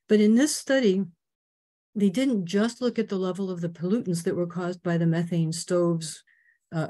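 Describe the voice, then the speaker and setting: An older woman from Canada giving an earnest, informative slide talk on a video call, clearing her throat now and then. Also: fairly low pitch, mellow. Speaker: presenter.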